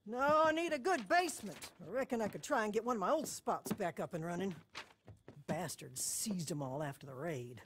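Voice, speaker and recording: A woman's voice: mid-range voice, lively intonation, moderate pace, background noise, lightly acted. A woman playing a weathered older American frontier moonshiner in a video game, blunt, dry and defiant. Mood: determined. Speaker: game character actor. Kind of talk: video game cutscene acting.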